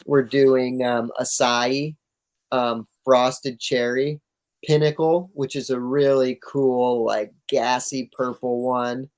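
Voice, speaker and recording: A man's voice: mid-range voice, level tone, slow, a little mellow. A man talking casually and enthusiastically as an interview guest, in laid-back, slangy language. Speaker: interview guest, cannabis grower.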